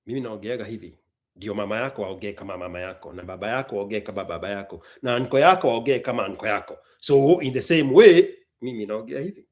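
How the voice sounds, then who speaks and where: A man's voice: lowish voice, expressive, quick pace, a little mellow. A man hosting a live stream, challenging his viewers in a combative way. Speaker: livestream host and rapper. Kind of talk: live stream talk mixing rap and opinion.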